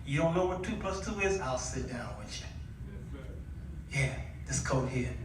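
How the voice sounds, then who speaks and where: An older man giving a conversational storytelling sermon with dramatic pauses and homely acted-out dialogue. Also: medium pitch, animated. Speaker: guest preacher. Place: church, picked up from a distance. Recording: echoey room, distant mic, noisy background.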